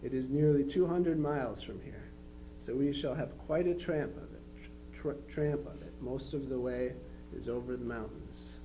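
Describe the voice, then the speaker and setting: A man's voice: medium pitch, moderate pace, warm tone, slight background noise, close to an everyday voice, poor audio. A man playing a dutiful young Civil War soldier, reading his letters home aloud. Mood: earnest. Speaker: amateur reader in a historical society dramatization.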